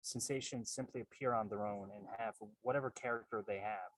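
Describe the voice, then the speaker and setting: A man leading a guided meditation as coach and host, calm and measured, with a husky voice and unhurried pauses. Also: lowish voice. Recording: roomy, distant-sounding microphone.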